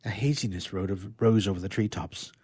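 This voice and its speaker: A man reading an excerpt from a novel in a measured, expressive, dramatic narrating style. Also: low-pitched, slightly rough, no room sound, studio quality. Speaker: radio player reading a literary excerpt.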